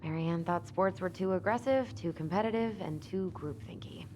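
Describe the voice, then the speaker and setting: An actress voicing a wistful, playful young adult twin sister in a naturalistic video game style, expressive and wry. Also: female voice, lowish voice, moderate pace, a little hiss or hum, lightly acted. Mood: uneasy, wistful.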